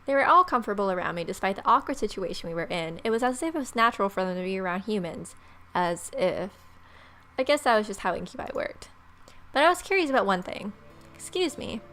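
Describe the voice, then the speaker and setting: A woman's voice: mid-range voice, animated, quick pace, fairly bright tone. A young woman reading visual novel narration aloud as a let's player. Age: young adult. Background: game music.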